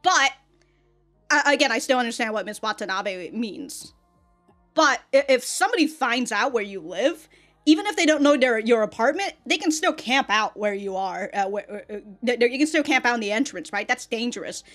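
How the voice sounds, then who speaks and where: A woman's voice: on the high side, lively intonation, normal speed, fairly bright tone, faint music behind. An American woman hosting a reaction video with casual, unscripted commentary in an informal, sweary, emphatic way. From United States.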